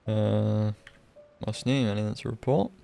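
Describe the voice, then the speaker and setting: Male streamer, lowish voice with vocal fry, casual offhand gameplay remarks. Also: fairly even intonation, unhurried, dark tone, soft background music. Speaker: game streamer. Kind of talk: casual live gameplay commentary.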